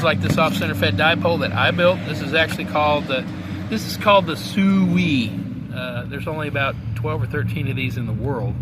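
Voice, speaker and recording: A man's voice: mid-range voice, heavy background noise. A man talking casually as a radio hobbyist and mentor, explaining in a folksy, wandering way. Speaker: ham radio operator and mentor. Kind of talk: casual explanatory vlog talk. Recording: loud music behind the voice. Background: loud music.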